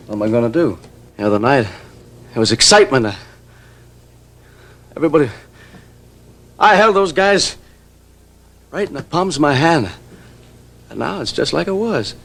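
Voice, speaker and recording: A bullying small-town shopkeeper from a vintage TV drama. His pitch lurches up and down as he badgers people, repeating himself with a volatile, controlling temper. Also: fairly bright tone, heavily acted. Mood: bitter, desperate. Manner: badgering, repeats questions insistently.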